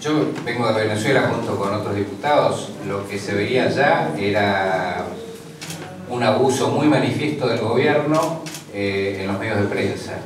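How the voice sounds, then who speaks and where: A man answering at a press conference, speaking slowly and deliberately. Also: fairly low pitch. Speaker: opposition deputy. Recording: echoey hall, far from the mic, noisy.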